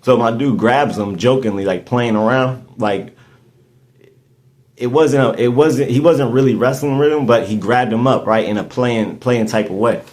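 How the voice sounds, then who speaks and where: A man's voice: mid-range voice, moderate pace, a little mellow. A man, hosting a podcast and telling a story in casual chat, rambling and restarting his words often. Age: 30s. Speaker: podcast host.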